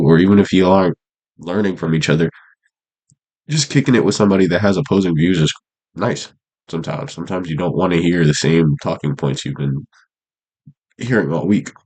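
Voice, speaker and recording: Stuffy-nosed young man, very low voice. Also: a little mellow, low-quality recording. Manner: laid-back, rambling slang talk with many fillers. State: congested, stuffy nose. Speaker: vlogger. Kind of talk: unscripted casual monologue to camera. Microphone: camera mic.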